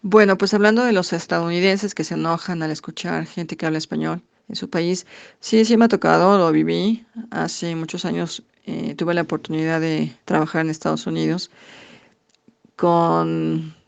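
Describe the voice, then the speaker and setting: A Mexican woman, an English teacher, answering interview questions in a thoughtful, halting way with many fillers. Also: lowish voice, fairly even intonation, gravelly. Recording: dull lo-fi recording.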